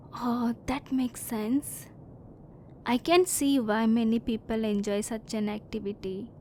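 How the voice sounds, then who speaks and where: A woman voicing a curious, reserved female alien in an ASMR roleplay, speaking softly in formal, stilted phrases, at times close to a whisper. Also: on the high side, slight background noise. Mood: hesitant, earnest. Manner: soft-spoken, sometimes near whisper.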